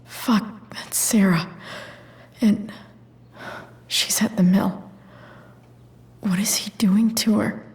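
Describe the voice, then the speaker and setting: Teen rebel girl in a video game, defensive, uneasy, blunt, kind of halting, natural acting. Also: medium pitch, fairly even intonation, a little mellow, husky, whispered. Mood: tense, hushed.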